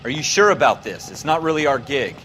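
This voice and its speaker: A man's voice: medium pitch, lively intonation, fairly quick, lightly acted. An American man playing a wary, wisecracking police patrolman in a video game, sardonic and griping in a colloquial way. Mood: doubtful. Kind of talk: video game dialogue acting. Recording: loud music and car noise behind.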